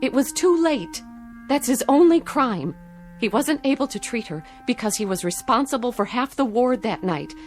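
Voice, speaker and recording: एक अभिनेत्री एक आक्रोशित युवा पत्नी की भूमिका निभा रही है, जो गंभीरता से अपने पति का बचाव करती है, पुराने टीवी नाटक में हल्के संगीत के साथ।. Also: female voice, on the high side, animated, moderate pace, natural voice. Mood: indignant. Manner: earnest, protesting. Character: indignant young doctor's wife defending her husband. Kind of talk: 1960s TV drama acting. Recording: old TV soundtrack with faint music.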